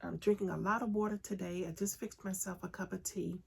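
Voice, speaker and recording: A woman hosting a crafting podcast, chatting casually with lots of enthusiasm and frequent ums, her voice raspy and congested from a recent illness. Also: fairly low pitch, steady tone, quick pace. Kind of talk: casual solo podcast chat.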